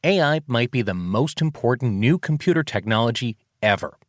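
A man's voice: mid-range voice, lively intonation, moderate pace, no room sound, studio quality, faint music behind. A man reading a scripted radio commercial as an announcer.

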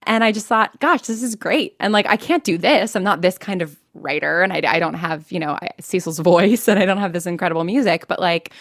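A woman's voice: on the low side, fairly quick, few pauses, fairly bright tone, no room sound, studio quality. A young American woman telling her own story casually in an interview, chatty and self-deprecating. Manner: chatty, self-deprecating, laughs while talking. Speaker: podcast creator and actor interviewed.

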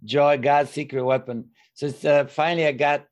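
An older man from Bulgaria with a Bulgarian accent, a guest minister telling his story as a lively storyteller with dry humor and a few grammar slips. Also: fairly high pitch, moderate pace. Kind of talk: interview storytelling.

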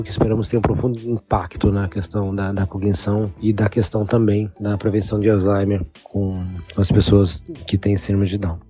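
A man giving an explanatory recorded statement, pausing to restart phrases and adding tag questions. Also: lowish voice, mellow. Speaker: foundation representative.

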